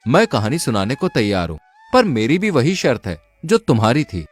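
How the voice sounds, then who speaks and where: A man's voice: medium pitch, very animated, normal speed, husky. A man voicing a clever young traveler in a Hindi folk tale, polite and confident.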